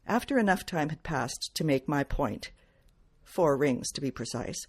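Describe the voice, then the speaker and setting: A woman reading first-person detective fiction aloud as its author, in measured, clearly phrased narration. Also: low voice, animated, darkish tone. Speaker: author reading from own novel.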